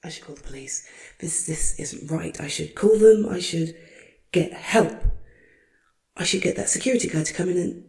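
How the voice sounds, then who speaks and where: A woman acts out a jumpy librarian alone at closing time in an audio roleplay. Her voice is very breathy and fairly low, with a hesitant, stammering delivery that often sinks into muttering to herself. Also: normal speed, pauses often, darkish tone, full character voice. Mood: nervous. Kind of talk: intimate first-person audio roleplay.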